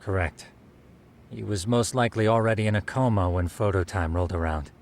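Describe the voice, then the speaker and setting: A man's voice: on the low side, steady tone, fairly quick, slight background noise, close to an everyday voice. An actor playing a polite, knowledgeable male investigator in a video game, talking formally and explaining details.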